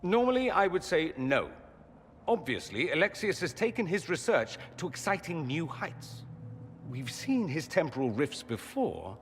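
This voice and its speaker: Flamboyant wry mage, very animated. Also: male voice, a little high, unhurried, slight background noise. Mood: wry, musing. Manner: arch, wry, theatrical. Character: witty, flamboyant adult male mage, urbane and inquisitive. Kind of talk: dramatic video game voice acting. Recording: long hall reverb, music underneath.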